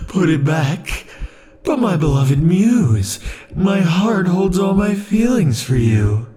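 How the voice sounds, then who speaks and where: A man voicing an obsessive, possessive immortal lover in an ASMR roleplay, speaking softly and slowly with dark chuckles, shifting between tenderness and threats. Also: sits high, very animated, a little hiss or hum, full character voice. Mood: unhinged.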